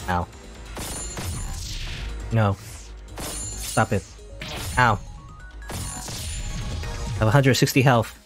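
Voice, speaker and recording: A man's voice: fairly low pitch, extremely slow, long pauses, faint music behind. A man giving casual, rambling commentary while playing a video game, often correcting himself mid-sentence. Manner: rambling, chatty, self-correcting. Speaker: gaming channel host. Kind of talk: casual let's play commentary.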